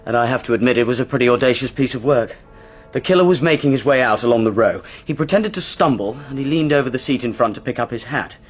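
A brusque, exasperated police officer in a radio drama. His voice is husky and quick, with faint music in the background. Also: medium pitch, expressive. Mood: exasperated, brisk.

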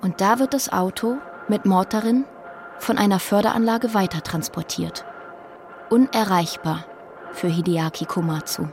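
A woman's voice: medium pitch, moderate pace, background noise, lightly acted. A woman acting in a scripted audio drama as a factory worker consumed by rage, narrating with intense deliberation. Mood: hushed, detached.

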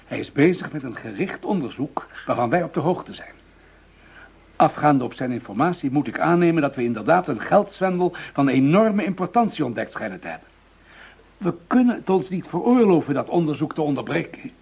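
An actor in a Dutch radio play playing a senior official who mediates in a formal, measured, businesslike manner. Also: male voice, on the high side, sing-song, vocal fry, slightly rough, heavily acted. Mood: calm, firm. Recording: dull, muffled radio drama recording.

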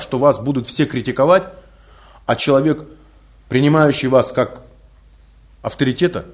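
Animated man lecturing with rhetorical questions. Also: medium pitch. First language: not Russian. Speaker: spiritual lecturer. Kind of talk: recorded religious lecture.

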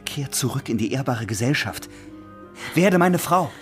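A man's voice: mid-range voice, lively intonation, unhurried, faint music behind, close to an everyday voice. A man acting in a German radio play as an earnest, lovesick, upright naval officer with a formal, pleading way of talking. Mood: urgent persuasion. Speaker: radio drama actor.

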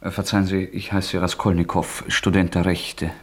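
An actor playing a proud, destitute young law student in a German radio play, intense and brooding. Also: male voice, deep voice, little pitch movement, moderate pace, breathy edge. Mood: awkward pleading.

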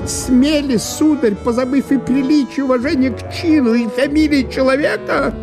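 High-pitched, indignant pompous gentleman. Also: normal speed, loud music behind the voice. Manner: pompous, outraged, declamatory. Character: touchy, dignified gentleman squeaking with indignation. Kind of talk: theatrical radio monologue.

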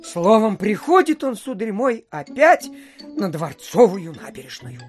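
An actor playing a chatty provincial official who spins a folksy, rambling tale in an audio play, full of filler phrases. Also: male voice, high voice, big pitch swings, measured pace, soft background music. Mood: animated, ironic. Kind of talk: theatrical storytelling in an audio play.

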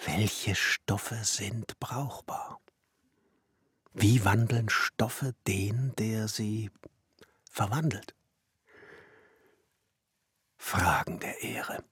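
An actor playing a pensive, exacting alchemist and master glassmaker in a literary radio play, speaking in a measured, musing way. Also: male voice, lowish voice, no room sound, studio quality, full character voice. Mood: hushed, musing. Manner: measured, musing, at times hushed and breathy. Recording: music behind the voice. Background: music.

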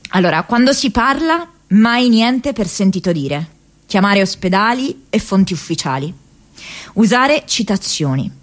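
A woman acting a young American activist in a radio theatre monologue, thinking aloud from her diary in a reflective, halting, conversational way. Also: medium pitch. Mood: brisk, recalling. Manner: halting, reflective, conversational, with hesitations and repeated words.

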